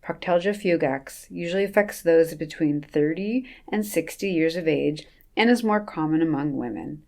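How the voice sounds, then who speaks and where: Woman, a little low, doctor reading a scripted segment, deadpan humor. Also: moderate pace, vocal fry. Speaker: resident physician presenting a segment. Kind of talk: scripted segment read with jokes.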